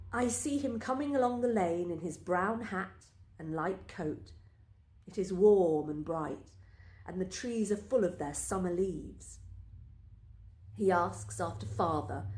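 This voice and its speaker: Woman reading a story out loud, really unhurried and careful, bit of an echoey room. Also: fairly low pitch. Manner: measured, deliberate storytelling. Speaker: story reader. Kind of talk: scripted first-person literary story reading. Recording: roomy, echoing space.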